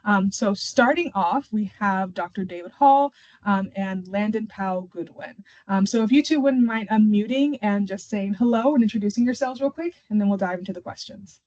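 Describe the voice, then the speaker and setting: A lo-fi online call carries a woman's mid-pitched voice. She presents information as a webinar host, guiding listeners through the material. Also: normal speed, a little mellow. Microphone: online video call. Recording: dull, lo-fi webinar audio.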